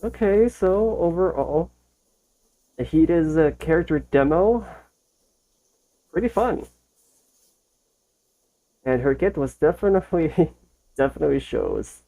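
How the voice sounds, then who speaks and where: A reaction video host giving casual commentary in short, halting phrases, thinking aloud. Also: medium pitch, warm tone, rough recording.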